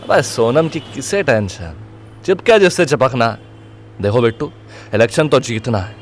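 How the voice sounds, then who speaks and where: Young campus politico, male performer: mid-pitched, brash, slangy, audio drama with light road noise. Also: lively intonation, moderate pace, close to an everyday voice. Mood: determined, brash. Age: young adult. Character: cocky, crude young student election leader. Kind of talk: Hindi audio drama acting. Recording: vehicle noise behind the voice.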